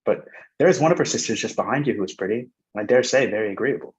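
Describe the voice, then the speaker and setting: An actor playing an amiable, smitten young gentleman, friendly and enthusiastic, in a period staged reading. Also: mid-range voice, lively intonation, quick pace, close to an everyday voice, low-quality recording.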